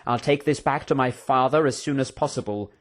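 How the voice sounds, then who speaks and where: Villain prince for a storybook, read by a man: smug, commanding, politely menacing, measured. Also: medium pitch, pitched above the performer's own voice, lightly acted. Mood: smug satisfaction.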